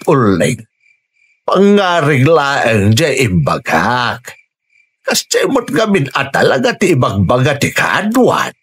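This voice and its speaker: A talkative, bumbling Ilocano husband in a comic radio drama scene. He drawls very slowly in a husky voice with big swings of pitch, forever on the defensive. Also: a bit bright, full character voice.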